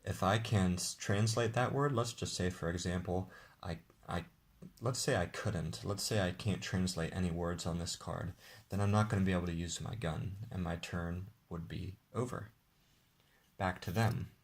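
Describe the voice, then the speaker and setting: A man, the game's designer, walking viewers through how to play his card game in a relaxed, explanatory way. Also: low voice, moderate pace, creaky. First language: English. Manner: relaxed, explanatory, with occasional restarts. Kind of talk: casual tabletop game demonstration.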